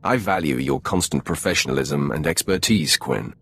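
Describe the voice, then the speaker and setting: An actor playing a commanding, composed dark warrior lord in a video game, with a deep gravelly voice and measured, formal delivery. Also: male voice, exaggerated voice. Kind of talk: video game dialogue acting.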